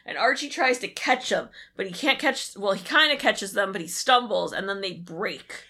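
A woman co-hosting a show, casually recapping a story in an animated way and acting out bits of dialogue. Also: medium pitch, normal speed. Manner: animated, acts out characters' lines in retelling. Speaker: podcast co-host. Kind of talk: casual episode recap chat.

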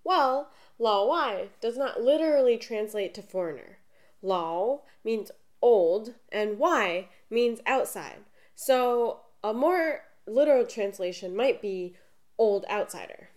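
A woman co-hosting a podcast, reading scripted explanations in a lively, explanatory way. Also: on the high side, takes it slowly. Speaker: podcast co-host.

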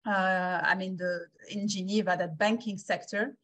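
A woman in her forties from Switzerland, telling her story conversationally as a podcast guest, energetic and repeating words for emphasis. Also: medium pitch, a little slow. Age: 40s. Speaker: podcast guest, founder and investor.

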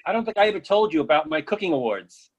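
A man's voice: a little high, lively intonation, fast talker, husky, dull audio. A man acting an eager, oblivious friend with an insistent, earnest manner in a readers theatre comedy. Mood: defensive. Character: eager, oblivious male friend pushing food, stubbornly cheerful.

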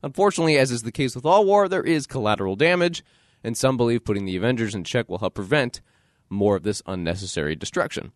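A man hosting a casual solo podcast, his voice stuffed up and nasal from a cold, talking with animated, playful energy. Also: medium pitch, quick pace, no room sound, clean studio sound. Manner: animated, playful self-promotion. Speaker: podcast host.

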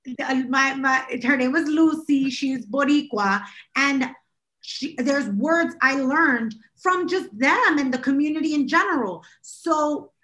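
A woman's voice: high-pitched, takes it slowly, a bit bright, rough recording. A woman co-hosting a casual podcast chat, talking in an emphatic, opinionated way. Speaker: podcast co-host.

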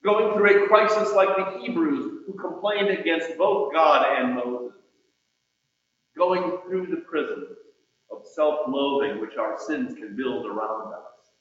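A man preaches in a slightly high-pitched voice, taking his time over each phrase. His delivery is measured and emphatic, with deliberate pauses. The sound is heavily reverberant, as in a large hall. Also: lively intonation, fairly bright tone, a little hiss or hum. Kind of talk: sermon with scripture and quoted readings. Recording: muffled, very echoey hall with long reverb.

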